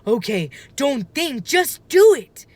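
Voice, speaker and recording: A woman voicing an excited, frightened young boy adventurer in a storybook read-aloud, with urgent exclamations. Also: high voice, slow pace, no room sound, pitched above the performer's own voice, exaggerated voice. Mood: nervous resolve.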